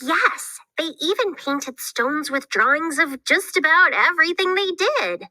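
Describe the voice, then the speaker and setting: A woman plays an eager young girl explorer in a children's read-aloud. Her voice is high and very bright, with animated swings as she explains what she knows. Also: a little slow, no echo, exaggerated voice. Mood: animated, explaining.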